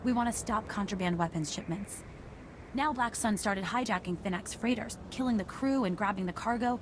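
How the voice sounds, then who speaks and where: Woman as a brisk undercover agent, noisy background. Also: mid-range voice, natural voice. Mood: businesslike. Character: businesslike female undercover intelligence agent. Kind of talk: scripted video game dialogue.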